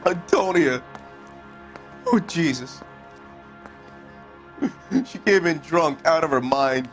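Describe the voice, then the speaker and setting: An actor playing a defensive, hot-tempered husband under police questioning in a crime video game, answering bluntly. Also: male voice, fairly high pitch, slow, faint music behind. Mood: agitated, defensive. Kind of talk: acted dramatic video game dialogue.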